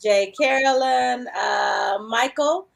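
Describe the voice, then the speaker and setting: A woman's voice: fairly high pitch, slow, gravelly. A woman hosting a casual livestream interview over a video call, chatty and rambling as she reads out viewer comments. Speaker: show host.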